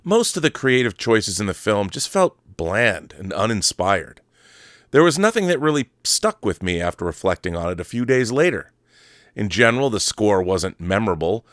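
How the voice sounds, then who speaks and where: A man's voice: lowish voice, dry recording, clean studio sound. A man hosting a solo podcast, talking casually and reading aloud with a theatrical, wordy showman's manner. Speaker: podcast host.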